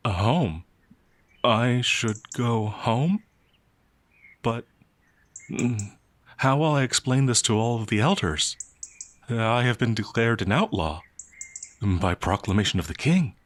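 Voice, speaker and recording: A man voicing a gentle, confused, ancient werewolf in an ASMR roleplay, soft-spoken and polite, with hesitant stammering. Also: mid-range voice, lively intonation, dry recording. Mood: confused, anxious. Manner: halting, hesitant, bewildered stammering. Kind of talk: ASMR roleplay audio drama.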